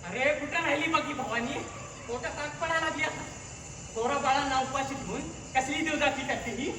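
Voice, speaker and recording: A man's voice: extremely high pitch, fairly quick, background noise. A man on stage playing a bitter, greedy village husband who berates and mocks his wife. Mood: scolding. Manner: harsh, mocking, berating. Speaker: stage actor. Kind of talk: stage acting in a one-act play. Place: theatre stage. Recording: echoey hall, far mic, music.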